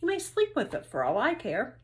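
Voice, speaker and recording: A woman's voice: fairly high pitch, huge pitch swings, brisk. A woman voicing an upbeat female third-grade teacher in a storybook, emphatic and teasing with her class.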